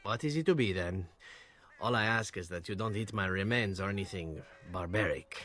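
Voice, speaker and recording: An actor playing a glib, charming captured assassin in a fantasy video game, wry and ornately polite. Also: male voice, on the low side, moderate pace, a bit bright. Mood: sardonic.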